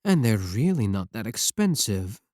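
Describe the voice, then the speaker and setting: A man's voice: lowish voice, very animated, normal speed, darkish tone, no echo, studio quality. A man playing a clingy, possessive yandere VTuber, pleading defensively and intimately with a single viewer in an ASMR roleplay. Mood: obsessive, coaxing.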